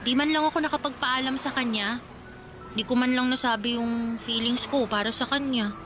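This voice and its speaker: A woman's voice: fairly high pitch, moderate pace, music in the background, natural voice. A woman playing a sentimental, teasing older girl cousin in a radio romance drama, confiding and dramatic. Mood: wistful, regretful.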